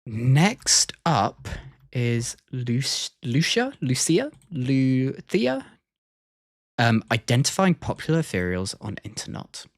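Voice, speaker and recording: A man streams casual reaction commentary, musing aloud and often hesitating as he weighs his opinions. His voice sits at a medium pitch in a dry, close recording. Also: big pitch swings, slow, dry recording.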